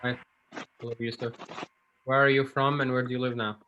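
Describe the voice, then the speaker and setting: A man's voice: medium pitch, flat delivery, fairly quick, dull audio, very noisy. A man teaching an online English class over a video call, friendly and patient, with loose fillers between thoughts.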